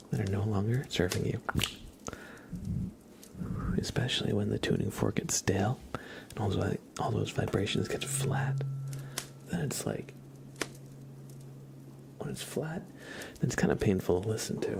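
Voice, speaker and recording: A man whispering and speaking softly and breathily as an ASMR livestream host, gentle and halting, with frequent pauses. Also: lively intonation, noisy background. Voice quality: breathy whisper mixed with low soft voice. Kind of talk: whispered ASMR, part role play, part casual chat.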